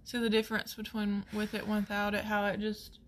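A woman with a Southern US accent chatting casually through a makeup tutorial, folksy and rambling as she thinks out loud. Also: medium pitch, completely flat delivery, fairly quick, a little hiss or hum. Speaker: makeup artist hosting a tutorial.

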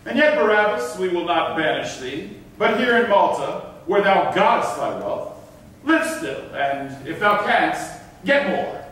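A man's voice: high voice, very animated, a little slow, a bit bright, heavily acted. A man playing a stern, sanctimonious governor in a staged reading of old verse, declaiming pompously. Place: theatre stage in a hall. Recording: echoey hall, distant mic, faint noise.

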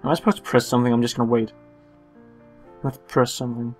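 A man's voice: mid-range voice, level tone, fast talker, soft background music. A young man giving casual, joking game commentary in a playful, self-mocking way. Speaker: let's play host.

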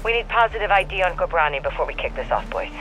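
A brisk military officer with a fairly high, sharp voice, commanding over radio in a war game, with faint music behind. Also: male voice, very animated, heavily acted, poor audio. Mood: alert. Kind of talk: video game voice acting.

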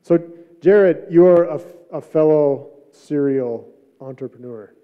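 A middle-aged man with a fairly high voice moderates a live panel, speaking unscripted as he hands questions to guests. The stage recording is a little dull. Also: takes it slowly, clear, resonant tone. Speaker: panel host, startup mentor. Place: stage of an auditorium, indoors. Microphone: handheld microphone.

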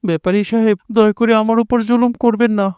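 A man's voice: sits high, steady tone, quick pace, a little mellow, clear, resonant tone, dry recording, natural voice. A man playing a poor village debtor in a cartoon, pleading and deferential.

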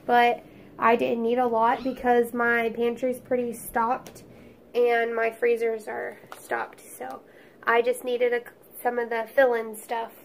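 A woman's voice: fairly high pitch, completely flat delivery, slow, gravelly. A woman in her thirties with an Oklahoma Southern accent, narrating a casual grocery haul in a chatty, homey way, her voice congested and a little crackly from a cold. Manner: chatty, homey, listing items. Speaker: YouTube vlogger, mom.

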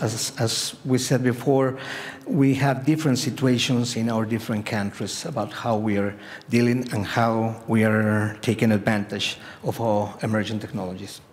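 A middle-aged man speaks haltingly at a conference, circling back over his own words. His mid-pitched voice carries a long reverb from the large hall he sits in. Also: darkish tone. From Bolivia. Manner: halting, many restarts and repeated words. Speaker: panel participant. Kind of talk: conference remarks.

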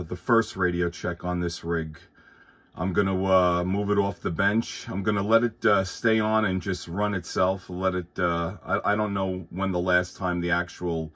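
Low-pitched man, casual radio chat. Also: fairly bright tone. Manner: courteous, thankful. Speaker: amateur radio operator. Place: indoors at a workbench.

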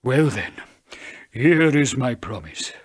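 A man voicing an old, blind, solemn father with a husky, breathy voice and slow, drawn-out delivery, as in a storybook. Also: mid-range voice, sing-song, heavily acted. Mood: solemn, proud.

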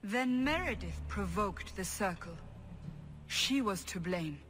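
A woman's voice: mid-range voice, animated, takes it slowly, a bit bright, a little hiss or hum. A woman voicing a stern, earnest warrior interrogator in a video game, speaking formally and insistently. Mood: earnest pleading. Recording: long hall-like reverb.

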